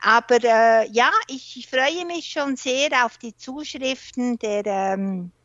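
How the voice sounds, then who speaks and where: A Swiss woman with a Swiss German accent, a guest researcher speaking German as a non-first language, who often pauses and searches for words. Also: fairly high pitch, fairly bright tone, no echo, highs cut off. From Switzerland. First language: not German; works mainly in French and English. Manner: thoughtful, searches for words, frequent hesitations. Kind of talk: interview conversation.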